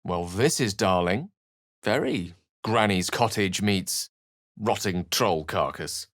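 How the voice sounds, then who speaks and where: A man's voice: sits low, expressive, slow pace, a bit bright, exaggerated voice. A man voicing a learned, wry and romantic wizard in a video game, speaking in an articulate, theatrical way. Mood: wry, musing. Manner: theatrical, articulate, literary phrasing. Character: learned human male wizard, eloquent, wry, romantic and dramatic. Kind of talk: video game character voice acting.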